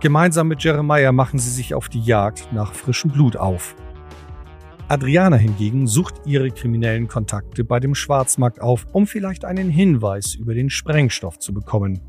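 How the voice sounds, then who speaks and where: A man's voice: mid-range voice, animated, moderate pace, a little mellow. A man narrating a scripted audio drama in the role of storyteller. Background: background music.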